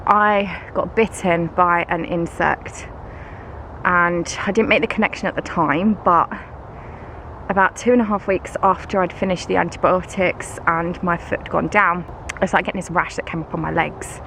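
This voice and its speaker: A woman's voice: lowish voice, steady tone, moderate pace, a bit bright. Bunged-up British woman chatting outdoors. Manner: chatty, self-mocking, laughs often. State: congested, bunged-up nose. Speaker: vlogger and schoolteacher. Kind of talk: casual vlog chat while walking. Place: woods outdoors. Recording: noisy outdoor camera recording. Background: outdoor ambience, passing vehicles.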